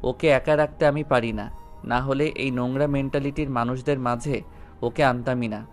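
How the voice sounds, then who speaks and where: Audio drama: man to play defiant young heir, medium-pitched, protective, curt and commanding, over faint music. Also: steady tone, moderate pace, lightly acted. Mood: firm, resentful. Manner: firm, cutting, commanding. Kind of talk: Bengali audio story acting.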